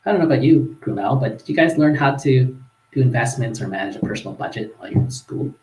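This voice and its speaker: A man's voice: medium pitch, fast talker, echoey room, rough recording. A man with a Canadian accent, a guest telling stories casually, chuckling now and then and restarting his sentences. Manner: relaxed, chuckling, with frequent fillers and restarts. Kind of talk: casual storytelling in a live-streamed interview.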